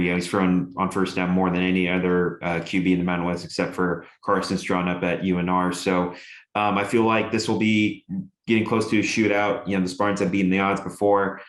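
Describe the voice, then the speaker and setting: A man's voice: low voice, reverberant. A young man co-hosting a college football preview show, chatting casually with many fillers and restarts. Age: young adult. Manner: rambling with many fillers, enthusiastic. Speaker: sports show co-host and radio broadcaster. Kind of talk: casual sports chat.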